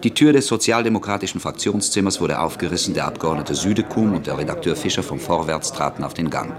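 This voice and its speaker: Very deep-voiced male narrator. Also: quick pace, a crowd in the background. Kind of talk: scripted literary narration in a radio drama.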